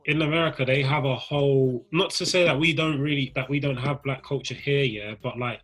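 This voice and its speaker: A man's voice: medium pitch, steady tone, a little fast, fairly bright tone. A young man, a podcast guest talking earnestly and building his argument with lots of fillers. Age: young adult. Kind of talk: casual chat.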